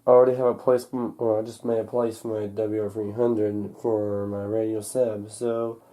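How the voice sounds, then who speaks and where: Young man with a very dark, muffled tone. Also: on the low side, flat delivery. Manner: halting, unscripted, thinking aloud. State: recovering from a cold. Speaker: hobbyist vlogger. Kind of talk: casual unboxing and setup narration. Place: indoors at home.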